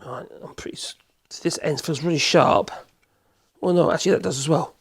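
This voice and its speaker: A man with a cold, hoarse, chatting in a rambling way as he demonstrates in his workshop. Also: fairly high pitch, a little fast. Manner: rambling, chatty, self-correcting, chuckles. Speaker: woodworking channel host.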